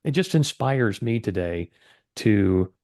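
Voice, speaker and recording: A man's voice: lowish voice, expressive, slow pace, darkish tone. Upbeat male podcast host. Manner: upbeat, encouraging, earnest. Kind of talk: casual interview talk.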